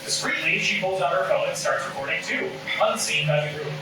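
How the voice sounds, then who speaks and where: A man's voice: fairly high pitch, fairly quick. A man reading stage directions as scripted narration at a live table read. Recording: echoey room, distant mic, clear noise.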